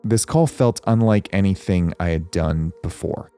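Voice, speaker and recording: A man tells his own investigation as documentary narration, calm and measured. His voice sits low with a mellow, dark tone. Soft music plays quietly behind him. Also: clear, resonant tone. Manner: measured, reflective first-person storytelling. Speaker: host and narrator.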